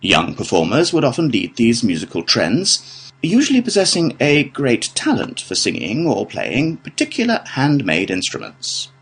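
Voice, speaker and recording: A British man delivering a scripted academic lecture in a measured, formal manner. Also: mid-range voice, huge pitch swings, gravelly, a bit bright, husky. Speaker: lecturer in a listening test.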